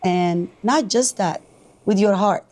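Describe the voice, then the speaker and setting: A woman hosting an interview, speaking slowly and admiringly to her guest. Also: medium pitch, darkish tone. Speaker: host.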